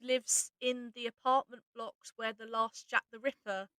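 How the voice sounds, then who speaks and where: A woman chatting informally about audio drama as its writer and voice actor, explaining things in a casual, animated way. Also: on the high side, measured pace, no room sound. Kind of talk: casual behind-the-scenes chat.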